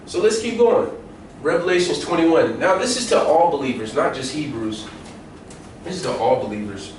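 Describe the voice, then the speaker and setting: Echoey, higher-voiced American man preaching. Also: lively intonation, normal speed. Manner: colloquial, emphatic, repeats phrases for stress. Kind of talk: informal sermon with scripture reading. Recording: very echoey room, distant mic, faint noise.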